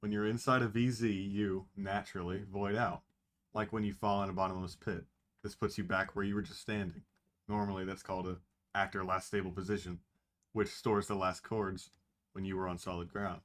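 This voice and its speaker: Low-voiced man explaining game glitches. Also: flat delivery, fairly quick, a bit bright. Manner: explanatory, technical. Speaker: speedrunner commentator. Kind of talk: explaining speedrun glitches, casual.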